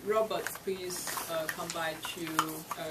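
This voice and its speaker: Woman, slow halting delivery, low-ish voice, distant noisy recording. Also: fairly even intonation. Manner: slow, hesitant. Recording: distant mic with loud noise.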